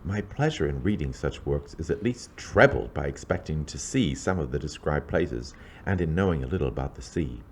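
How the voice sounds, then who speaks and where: A man reading scripted historical narration in a measured, formal, scholarly manner. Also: extremely low pitch, animated, a little hiss or hum. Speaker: lecturer reading own introduction.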